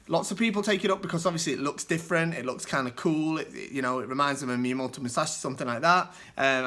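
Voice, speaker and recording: A man hosting a casual solo video, answering viewer questions. His voice is hoarse from a cold, and he explains things at length with many asides. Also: on the high side, bright, forceful tone. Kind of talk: casual solo vlog talk.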